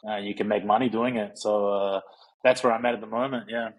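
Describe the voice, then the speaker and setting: A man's voice: lowish voice, fairly even intonation, brisk, poor audio. A man, a coach talking casually in an interview, rambling and reflective with many fillers. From New Zealand. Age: 30s. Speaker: guest, strength and conditioning coach.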